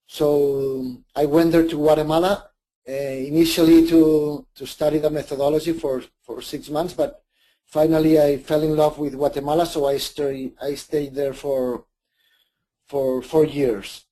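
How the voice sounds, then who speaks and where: A man speaking English with a Spanish accent, his voice pitched a little high but mellow and soft-edged. He presents in a halting way, often restarting and correcting himself as he searches for words. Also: flat delivery, low-quality recording. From Spain. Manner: hesitant, many restarts and self-corrections. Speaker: webinar presenter. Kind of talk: live webinar presentation.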